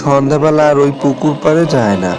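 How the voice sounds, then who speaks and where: A man's voice: fairly high pitch, a little fast. A man narrating a scripted horror story in a dramatic style. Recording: loud music behind the voice.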